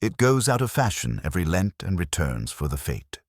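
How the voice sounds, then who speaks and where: A man voicing a prim, shrewd older woman, genteel and dryly amused, with crisp, precise speech in a storybook reading. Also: deep voice, very animated, moderate pace, no room sound, studio quality. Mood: pleasant, dry.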